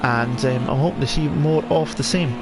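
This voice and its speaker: A man's voice: mid-range voice, moderate pace. A man with a Scottish accent commentating live on an online car race, chatting conversationally with viewers in friendly asides. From Scotland. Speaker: esports racing commentator. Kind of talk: live sim racing commentary mixed with casual chat to viewers. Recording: loud race car engine noise. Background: racing game car engines.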